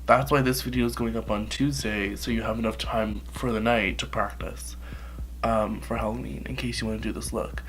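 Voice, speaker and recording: A man's voice: on the low side, fairly even intonation, normal speed, slight background noise. A young man with a stuffy, nasal voice from a cold, chatting loosely through a makeup tutorial into his camera, swearing and saying "like" constantly. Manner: rambling, profane, filler-heavy. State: sick, nasal. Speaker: makeup vlogger.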